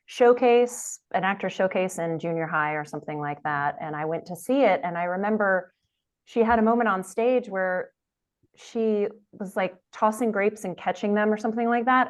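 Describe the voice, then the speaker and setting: A woman actor, guest on an interview show, chatting casually and laughing easily over a video call. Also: mid-range voice. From Delaware, USA.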